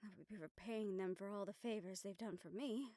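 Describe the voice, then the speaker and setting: A woman playing an affectionate, scheming young noblewoman in an intimate audio roleplay, speaking formally and measuredly with thoughtful pauses. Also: mid-range voice, warm tone, no echo, studio quality, natural voice. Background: background music.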